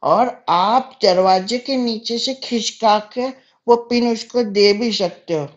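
A man's voice: sits high, slow pace, highs cut off, pitched above the performer's own voice. A man voicing an insolent young boy who makes taunting, mock-polite prank calls in a comedy sketch. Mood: sly, teasing.

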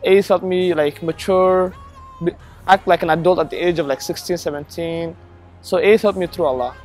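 A young man from Ethiopia, a recent high school graduate, talking casually outdoors and using lots of "like". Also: normal speed, soft background music. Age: young adult. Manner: relaxed, with fillers like "like". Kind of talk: casual testimonial. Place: outdoors by a brick building.

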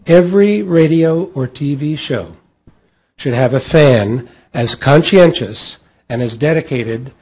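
Husky middle-aged American man, higher-pitched voice. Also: slow, a little mellow. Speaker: panel moderator. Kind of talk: scripted introduction read aloud. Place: hotel meeting room at a convention. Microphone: table microphone on a stand.

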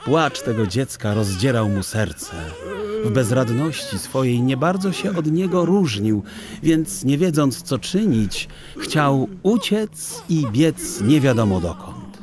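A man narrating a children's audio story in an expressive, literary storytelling style. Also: medium pitch, slow pace, crowd noise.

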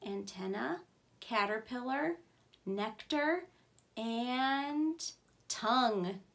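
A woman in her thirties speaks in a fairly high voice with a noticeable creak. She reads aloud like a preschool teacher, keeping her phrases simple and stressing key words for small children. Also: expressive, very slow, a bit bright. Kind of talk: read-aloud for young children.

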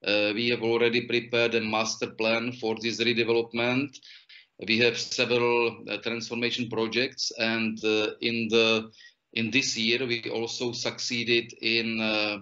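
Man, 60s, flat monotone, bright edge, hesitant with fillers, video call, muffled audio. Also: mid-range voice, unhurried. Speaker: regional official. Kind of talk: prepared remarks on a video call.

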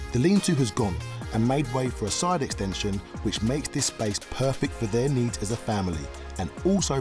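Man narrating a home makeover. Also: mid-range voice. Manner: measured, explanatory. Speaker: co-presenter. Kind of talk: scripted voice-over narration. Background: background music.

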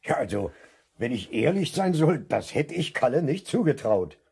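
An actor playing a genial, unhurried small-town policeman with a raspy voice in a 1970s radio play. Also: mid-range voice, very animated, darkish tone, heavily acted. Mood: amused, surprised.

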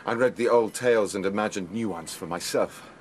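An actor playing a proud, disdainful aristocratic lord in a radio drama, formal and coldly menacing. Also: male voice, on the low side, brisk, slight background noise, close to an everyday voice. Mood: proud confession. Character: proud, cold aristocratic lord with a warrior's romantic streak.

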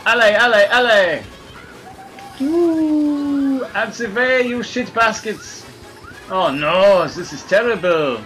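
A man doing comic gameplay commentary in a fake French accent, excitable and hammy, laughing at his own jokes. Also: high-pitched, slow pace, soft background music, low-quality recording. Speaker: let's play gamer.

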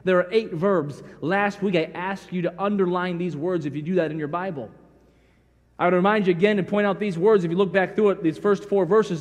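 Middle-aged male preacher, high-pitched, animated and homespun, sermon with long church reverb. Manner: folksy, animated storytelling, acts out dialogue. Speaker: pastor. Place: large church sanctuary.

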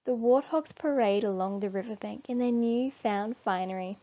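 A woman reading a children's storybook aloud expressively, with deliberate emphasis. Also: fairly high pitch, warm tone, muffled recording. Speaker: storybook reader.

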